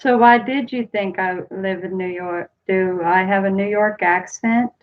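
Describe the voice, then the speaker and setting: A woman's voice: medium pitch, measured pace. A woman in her forties from the United States, chatting casually on a home live stream and answering viewers' comments one by one. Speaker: vlogger reading live chat. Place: small room at home, indoors. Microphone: webcam built-in mic. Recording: slightly dull lo-fi webcam audio.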